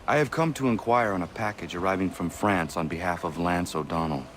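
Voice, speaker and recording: An actor playing a stoic, earnest young colonial-era assassin in a video game, speaking in a stiff, formal way. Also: male voice, sits low, brisk, slight background noise, close to an everyday voice. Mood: resolute, formal. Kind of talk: video game character acting.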